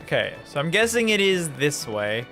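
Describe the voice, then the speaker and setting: Male game streamer, slightly high voice, casual and jokey, quiet music behind. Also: sing-song, moderate pace. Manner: chatty, jokey. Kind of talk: casual live game commentary.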